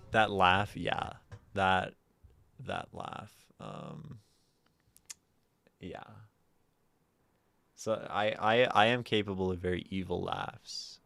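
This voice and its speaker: A man with a naturally low voice, hosting a livestream and musing softly and aimlessly with many fillers. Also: creaky. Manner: soft, meandering, lots of fillers; prefers talking quietly and a little higher. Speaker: livestream host. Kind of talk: casual livestream chat.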